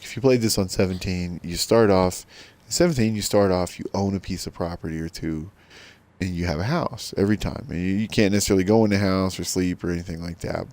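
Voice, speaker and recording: A middle-aged man with a rough smoker's voice, giving easygoing, rambling commentary to viewers while playing a farming game. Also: low-pitched, a little mellow. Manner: rambling, easygoing, self-deprecating. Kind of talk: casual gameplay commentary to viewers.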